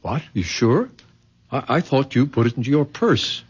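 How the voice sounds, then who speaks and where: Blunt, skeptical man, mid-pitched. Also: lively intonation, normal speed, husky. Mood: questioning. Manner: direct, questioning. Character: blunt, questioning male companion, practical and skeptical. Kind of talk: old-time radio drama acting. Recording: dull, lo-fi vintage radio recording.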